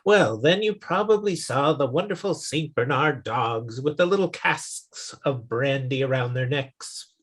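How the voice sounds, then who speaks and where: A man playing a dry, exasperated middle-aged boss in a 1950s-style radio comedy, with sarcastic, drawn-out lines. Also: mid-range voice, animated.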